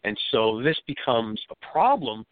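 A man with a cold and a slightly raspy voice, answering interview questions as a safety expert in an easygoing, explanatory way. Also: medium pitch, big pitch swings, unhurried, no echo. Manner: explains at length in a plain, easygoing way. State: has a cold, a bit raspy. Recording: dry, phone-like line.

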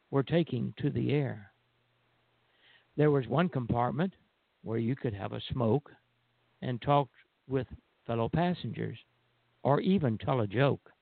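A man co-hosting a radio show, speaking in a measured, deliberate way over a band-limited phone line. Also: mid-range voice, vocal fry, no room sound. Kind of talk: poem recitation, then casual show talk.

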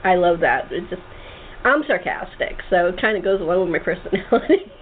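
A woman's voice: lowish voice, animated, a little fast. Woman host on a tinny phone line. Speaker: host, playwright and director. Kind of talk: casual cast interview. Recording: tinny phone line, clear background noise.

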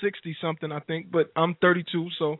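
A man in his thirties hosts a live radio show, keeping things loose and jokey. His voice sits fairly high for a man. The sound is dry and tinny like a phone line, with faint music behind him. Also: level tone, quick pace, no room sound. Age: 30s. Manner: upbeat, joking, easygoing. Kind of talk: casual live radio chat.

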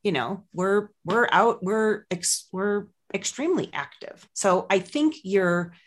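A woman in her fifties, a pet health expert, talking in an animated, earnest way on a casual podcast. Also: medium pitch, slow pace. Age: 50s. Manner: animated, earnest, swoops up into quoted voices.

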